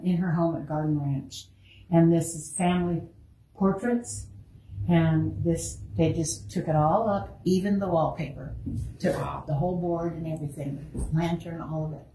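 Woman museum curator, warm and chatty guide, somewhat low voice, distant mic in a reverberant room with faint hiss. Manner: chatty, lingers on exhibits, laughs easily. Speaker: museum curator giving a tour. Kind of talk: casual guided tour talk.

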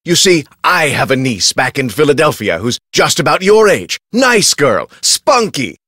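An actor playing an irascible, self-important middle-aged director of a research facility in a video game, with a pompous, exacting, clipped delivery. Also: male voice, a little high, big pitch swings, a little slow, sharp tone, no room sound, studio quality, full character voice. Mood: softened, condescending. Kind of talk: video game character acting.

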